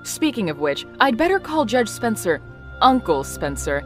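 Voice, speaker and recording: Woman acting spirited high-voiced young bride. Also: sing-song, moderate pace, faint music behind. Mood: excited. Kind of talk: amateur comic dub acting.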